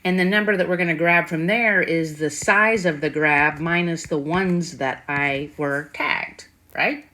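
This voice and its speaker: Low-pitched woman teaching math informally. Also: a little slow, pushed and bright. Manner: thinking aloud, frequent check-in questions. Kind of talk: informal whiteboard math tutorial. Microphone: screen-recording voice-over. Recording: faint background noise with clicks.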